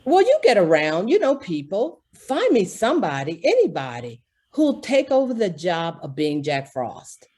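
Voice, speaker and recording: A woman's voice: fairly low pitch, huge pitch swings, normal speed, dark tone, breathy edge, full character voice. A woman playing a harried, earnest department store executive in an old-time radio drama, exasperated and emphatic. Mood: exasperated, pleading.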